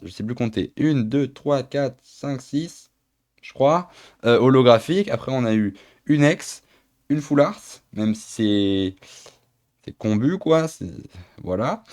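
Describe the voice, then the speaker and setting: A man's voice: mid-range voice, darkish tone. A young man with a stuffy cold hosting a casual card-opening vlog. He chats and rambles, naming each card as he goes. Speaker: channel host. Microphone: phone camera.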